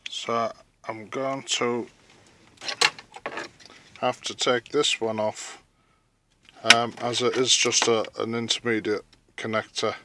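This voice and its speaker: A man giving casual, halting hands-on commentary as he thinks aloud through a DIY job, recorded on a handheld phone. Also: medium pitch, little pitch movement, heavy background noise. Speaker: vlogger narrating a DIY car radio install.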